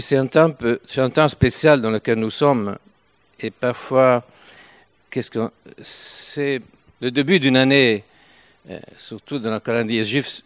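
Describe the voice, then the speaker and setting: Older Italian-accented man, lowish voice, dry close sound, halting informal preacher. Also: no room sound. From Italy. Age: 70s. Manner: halting, with frequent restarts and pauses. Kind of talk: informal sermon.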